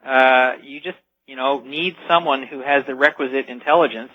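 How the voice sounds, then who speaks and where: A man talks as a guest expert on a radio show. His medium-pitched voice explains things conversationally. The sound is dull and lo-fi, with very little room around it. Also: steady tone, moderate pace, dry recording. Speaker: talk radio guest, futurist.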